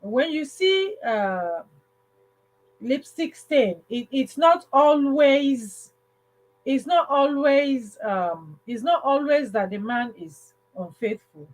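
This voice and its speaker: A woman's voice: sits high, expressive, takes it slowly, low-quality recording. A woman hosting a casual livestream advice talk, speaking emphatically and repeating phrases for stress. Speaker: livestream host.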